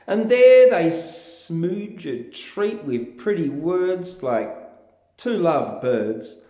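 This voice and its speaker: An Australian man giving a theatrical, drawn-out recitation of comic vernacular verse in character as a larrikin. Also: on the high side, big pitch swings, warm tone. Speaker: poetry reader. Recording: roomy, echoing sound.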